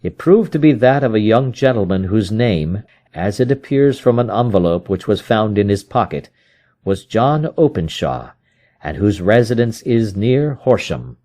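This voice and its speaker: A man narrates a literary story as an audiobook reader. His voice is dark and mellow at a middling pitch, and it moves in lively, varied melody. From United States.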